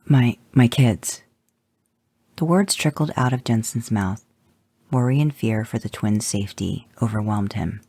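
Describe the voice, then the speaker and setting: A man narrating a fan story with steady pacing. His voice is gravelly, dark and husky, and the recording is dry and close. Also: on the low side, moderate pace, no room sound. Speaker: podcast host reading fan fiction. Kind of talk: podfic narration.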